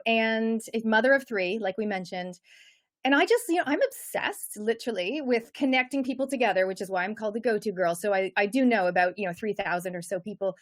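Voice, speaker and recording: Canadian woman coach, chatty and energetic, kinda high voice. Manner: energetic, chatty, many fillers. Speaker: guest coach. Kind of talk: casual interview chat.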